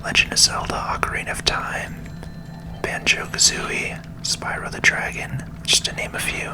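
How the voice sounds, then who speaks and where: A person whispering calm ASMR commentary over a video game, close to the microphone. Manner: slow, calming.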